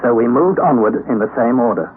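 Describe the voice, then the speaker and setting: An actor playing an earnest young nephew who recounts a perilous expedition in measured, theatrical old radio-drama style. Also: male voice, medium pitch, animated, narrow, tinny audio, natural voice. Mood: measured narrating. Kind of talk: dramatic first-person narration, old-time radio acting. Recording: thin, band-limited vintage radio recording, noisy.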